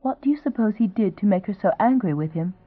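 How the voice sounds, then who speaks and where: A woman's voice: medium pitch, fast talker, no echo, natural voice. A woman voicing a young woman who chatters eagerly and gushes. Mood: curious. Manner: eager, gushing, lively. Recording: tinny, phone-like sound.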